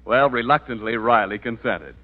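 A man's voice: medium pitch, moderate pace. An American man reading scripted narration and sponsor messages as a 1940s radio announcer. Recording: muffled vintage radio recording.

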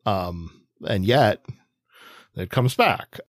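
A man's voice: lowish voice, lively intonation, extremely slow, dry recording, studio quality. A man co-hosting a podcast, chatting casually in rambling sentences with emphatic asides. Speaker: podcast co-host. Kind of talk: casual comic book discussion.

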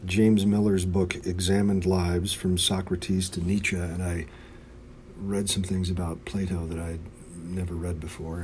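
An American man, a poet, reading in a measured, literary way. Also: sits low, a little mellow. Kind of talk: poetry reading. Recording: faint background noise.

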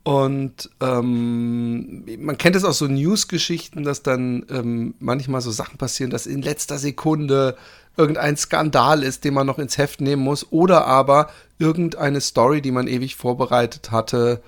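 A man's voice: mid-range voice, fairly even intonation, moderate pace. A man hosting a podcast, asking long, winding questions with many restarts and fillers. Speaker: podcast host. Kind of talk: casual podcast interview.

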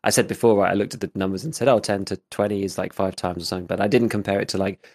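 Slightly gravelly low-voiced man. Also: fairly even intonation, rapid-fire, darkish tone. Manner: rambling, full of "like" fillers. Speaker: podcast co-host, gaming YouTuber. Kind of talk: casual chat.

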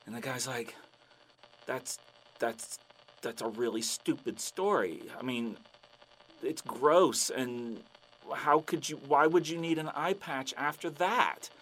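A man telling long, shaggy-dog jokes with a deadpan delivery in a radio drama. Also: on the high side, brisk, a little hiss or hum, low-quality recording. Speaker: joke teller.

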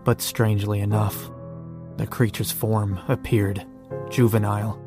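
Weary, sardonic male survivor, actor-played, low and flat, narrating a horror audio diary over soft music. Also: measured pace, lightly acted. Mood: grim. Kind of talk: first-person dramatic diary narration.

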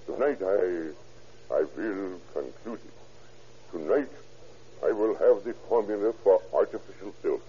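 An actor playing a stammering, sly scientist who turns to murder, in an old radio drama. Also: male voice, lowish voice, mellow, breathy edge, exaggerated voice. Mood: nervous. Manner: stammering, sly, with menacing chuckles. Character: sinister German scientist, middle-aged man, murderous. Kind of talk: 1940s radio acting. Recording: dull old radio recording.

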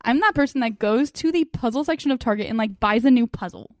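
A woman's voice: a little high, fast, no room sound, studio quality. A woman in her twenties hosting a podcast, chatting casually in a bubbly, giggly, rambling way. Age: 20s. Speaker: podcast host. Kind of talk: casual chat.

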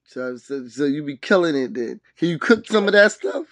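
Slangy man hosting a hip-hop interview, higher-pitched. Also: expressive, normal speed, fairly bright tone, no room sound. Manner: slangy, repeats words and phrases. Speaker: podcast host interviewer.

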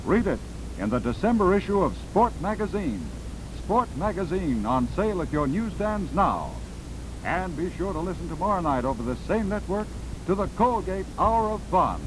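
A man's voice: big pitch swings, normal speed. A man with an American accent announcing and selling products in the style of 1940s radio. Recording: noisy old radio broadcast with rumble.

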